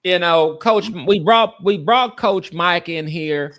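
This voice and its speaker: A man's voice: high voice, slow pace, a bit bright. A man co-hosting a sports podcast in a casual, emphatic way, often repeating phrases for stress.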